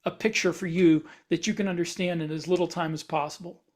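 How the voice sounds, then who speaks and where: A man's voice: on the high side, fairly even intonation, fairly quick. A man speaking as an author on a casual podcast panel, explaining things while searching for the right words.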